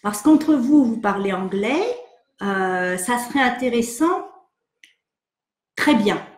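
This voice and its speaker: A woman psychologist from France hosting an online session, answering viewer questions in a hesitant, gentle way with many fillers and restarts. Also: a little high, lively intonation, slow pace, echoey room. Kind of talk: live webinar, reading and answering viewer questions.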